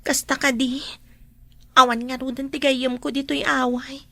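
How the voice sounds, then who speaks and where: A woman's voice: high-pitched, level tone, moderate pace, creaky, sharp tone, no room sound, full character voice. A woman with an Ilocano accent playing an anxious young wife in a radio drama, plaintively pining for her absent husband.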